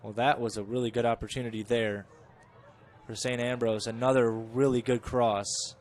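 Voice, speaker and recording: A man giving chatty live play-by-play of a college soccer match, correcting himself and rising in pitch and volume when the play gets dangerous. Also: level tone, moderate pace. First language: English. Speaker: play-by-play commentator.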